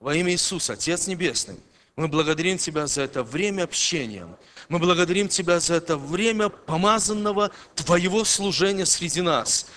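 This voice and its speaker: A man preaching a sermon to a congregation, emphatic, swinging between loud declarations and quiet, low passages. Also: a little fast. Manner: emphatic, rising and dropping in loudness.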